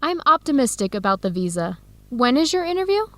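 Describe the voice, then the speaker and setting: Young female researcher for educational radio drama: warm, upbeat, fairly high voice, clear simple lines. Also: very animated, a little fast, no echo. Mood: excited, encouraging. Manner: clear, simple phrasing for English learners.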